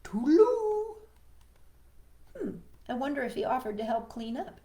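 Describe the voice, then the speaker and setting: Female children's storytime host: highish, mellow, expressive read-aloud with asides. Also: fast, reverberant. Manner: expressive, addressing young listeners with questions.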